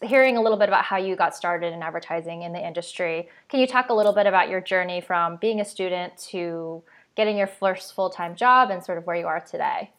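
A woman's voice: lowish voice, a little fast, a bit bright. Podcast host, woman, slightly under the weather; chatty, upbeat interviewer.

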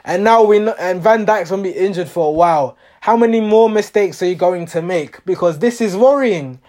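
Hoarse guy with a highish voice, fired-up football fan going over the match, keeps repeating himself. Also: brisk, darkish tone. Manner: passionate, repetitive, exasperated. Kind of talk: match recap commentary.

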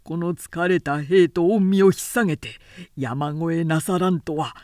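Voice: mid-range voice, measured pace, darkish tone, dry recording, clean studio sound, natural voice. A woman voicing an anxious, deferential male army officer in an audiobook, formal, urgent and emphatic. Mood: alarmed, protesting.

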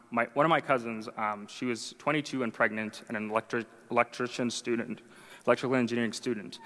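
A man's voice: medium pitch, pushed and bright, in a large hall. A young man whose first language is Arabic, telling a personal faith story to an audience in a conversational, self-deprecating way with frequent "right?" asides. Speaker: speaker sharing a conversion testimony.

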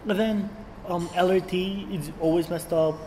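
A young Filipino man with a high voice and a blended international English accent. He talks casually, full of slang and repetition, like a guest on a relaxed podcast. The sound has a long hall reverb and a little background noise. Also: normal speed. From Filipino raised in Saudi Arabia. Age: young adult. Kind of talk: casual chat.

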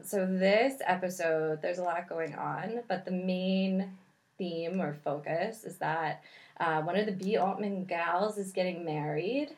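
A woman co-hosting a podcast in a studio, chatting casually and piling up eager words. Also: fairly low pitch. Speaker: podcast co-host. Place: recording studio.

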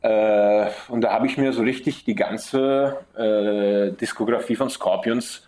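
Italian man, a bit low-voiced, guest on a chat, searching for words with lots of fillers. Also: fairly even intonation, measured pace, mellow. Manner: hesitant, many fillers and restarts. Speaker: guest musician. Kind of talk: casual interview answer.

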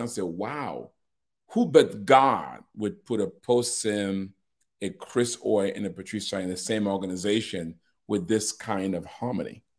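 Low-voiced man leading a team talk, thoughtful and good-humored. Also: a little mellow. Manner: reflective, laughs easily, faith-minded. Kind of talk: casual team chat.

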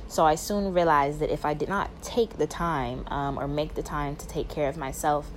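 A woman's voice: low-pitched, steady tone, normal speed, slight background noise, rough recording. A nineteen-year-old woman from Atlanta, a young mother, speaking thoughtfully in a voice note. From Atlanta, Georgia. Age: young adult. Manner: thoughtful, plain-spoken. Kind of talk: personal voice memo.

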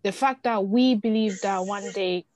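A woman sharing an informal opinion in an online discussion, in a halting way. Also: medium pitch, darkish tone, no echo. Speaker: participant in a group discussion.

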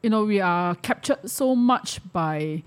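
A woman with a Malaysian accent giving opinions in a casual discussion, halting and repeating words as she argues. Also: medium pitch, expressive, clear, resonant tone. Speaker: panelist.

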